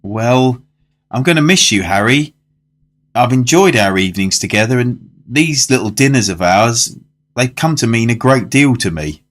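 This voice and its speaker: A man's voice: medium pitch, expressive, moderate pace, natural voice. An older man with a British accent playing a genteel, reflective diner who narrates a macabre tale in a radio drama. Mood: wistful. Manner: courteous, literary storytelling. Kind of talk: fan-made radio drama, first-person narration and dialogue. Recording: music under the voice.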